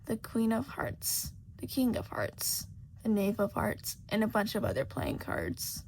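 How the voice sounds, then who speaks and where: A woman's voice: medium pitch, huge pitch swings, a little slow, a little mellow, low-quality recording. A woman reading a scripted story aloud as an amateur audiobook narrator. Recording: faint background noise.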